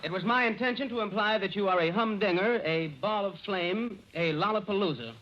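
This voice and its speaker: A man's voice: high-pitched, brisk, highs cut off. A male comedian speaking in a pompous, wordy, mock-formal way in 1930s scripted radio comedy. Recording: band-limited old radio recording, faint noise.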